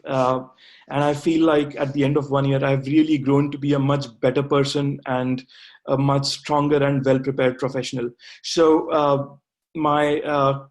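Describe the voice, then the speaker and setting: A man in his thirties talks through a webinar as an MBA graduate. His voice sits a bit higher than most men's, and he often stalls on "uh" and restarts his phrases while he explains. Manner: hesitant, with frequent "uh" fillers and restarts. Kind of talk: webinar talk answering questions.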